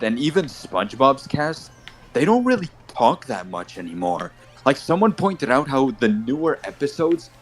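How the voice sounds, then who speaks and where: A man with a mixed Colombian Spanish and Brooklyn accent, a native Spanish speaker, chatting casually while gaming and rambling with lots of "you know" fillers. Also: medium pitch. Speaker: tournament host.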